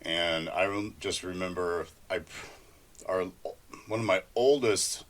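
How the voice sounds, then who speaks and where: A man with a low-pitched voice and a bright, forceful edge co-hosts a podcast. He chats informally, telling anecdotes in loose, unscripted phrases. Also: slow pace. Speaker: podcast co-host. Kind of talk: casual anecdotal podcast chat.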